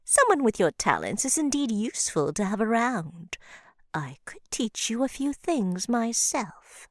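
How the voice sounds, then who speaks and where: A woman voicing a teasing, motherly old forest witch in a visual novel, with theatrical delivery and drawn-out endearments. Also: on the high side, big pitch swings, no room sound, clean studio sound. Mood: imperious, theatrical.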